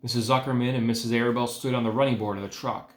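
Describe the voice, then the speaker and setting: A man's voice: medium pitch, fairly even intonation, quick pace. A man, an educator, talking casually to students and narrating a children's storybook aloud. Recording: echoey room.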